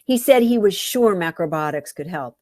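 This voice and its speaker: Expressive low-voiced woman reading her story. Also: dry recording, studio quality. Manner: wry, deliberate, dramatic phrasing. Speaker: author reading own memoir story.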